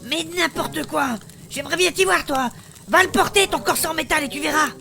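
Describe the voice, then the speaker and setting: A woman's voice: high-pitched, fairly quick, vocal fry, over music. A woman playing an outspoken, argumentative, proudly feminine warrior in armour in a comedic fantasy audio drama. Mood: indignant. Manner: argumentative, emphatic, coarse-tongued.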